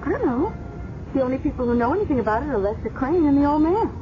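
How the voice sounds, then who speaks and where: A high-pitched, flirty office worker. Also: female voice, very animated, fast. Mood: chatty. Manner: breezy, forward, wisecracking. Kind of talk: 1970s radio drama acting. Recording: muffled noisy 1970s radio recording.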